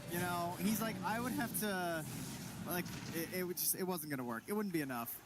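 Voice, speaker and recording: A man with a moderately high voice chats through a live esports match as the analyst on the casting duo. Noise is clearly audible behind him. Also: brisk. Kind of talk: conversational live game analysis.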